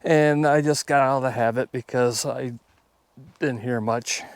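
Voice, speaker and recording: A man's voice: medium pitch, fairly even intonation, unhurried, smooth, dry recording. A man talking casually to viewers outdoors on a hike, in a plainspoken, conversational way. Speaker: YouTube host. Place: outdoors on a hike along a river.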